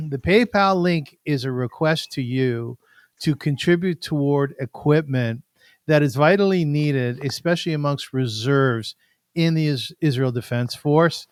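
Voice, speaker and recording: A man's voice: on the high side, measured pace, smooth, no room sound, studio quality. A man hosting an interview, effusive with praise and prone to repeating himself as he restarts his sentences. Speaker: show host.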